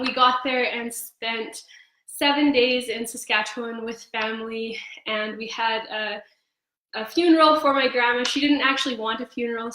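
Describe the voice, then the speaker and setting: A young woman with a slightly hoarse voice, chatting casually on a live cooking stream with frequent ums. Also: on the high side, fairly bright tone. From Canada. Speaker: host of a cooking livestream. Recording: echoey room.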